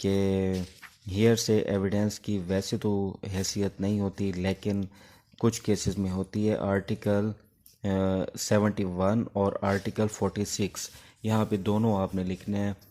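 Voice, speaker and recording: Man, Pakistani accent, lowish flat voice, soft mellow tone, law lecturer, halting exam-prep delivery. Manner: halting, instructive, with pauses between phrases. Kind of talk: informal exam-prep lecture with English legal terms.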